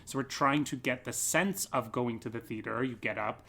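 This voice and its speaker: Male interview guest, medium pitch, conversational and enthusiastic explainer. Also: normal speed, creaky, sharp tone. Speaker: podcast guest, theatre creator. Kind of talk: casual interview chat.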